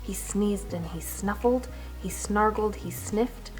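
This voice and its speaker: A woman reading a rhymed children's storybook aloud in an expressive, rhythmic way with dramatic emphasis. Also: mid-range voice, slow pace, faint music behind, rough recording. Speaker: children's storybook reader, teacher.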